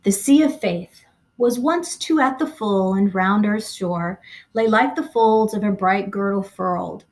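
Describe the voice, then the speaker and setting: A woman voicing a shaken man reading a poem aloud slowly and solemnly, in an audiobook. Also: mid-range voice, natural voice. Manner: slow, solemn recitation. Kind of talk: audiobook character voice.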